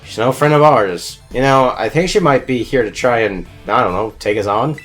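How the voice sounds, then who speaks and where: A man's voice: medium pitch, normal speed, a bit bright, soft background music, close to an everyday voice. A man voicing a nervous young gang guard in a video game, hesitant and informal.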